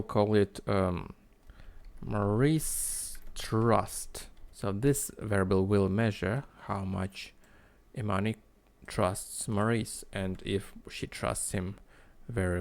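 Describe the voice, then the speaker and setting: A man narrating a screen-recorded coding tutorial in a halting, explanatory way. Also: lowish voice, vocal fry. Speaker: tutorial host.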